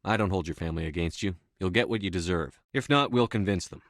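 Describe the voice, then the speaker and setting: A man acting a stern, steady space-navy commander in a video game, with a measured, dry, authoritative delivery. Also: sits low, no room sound. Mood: earnest, steady.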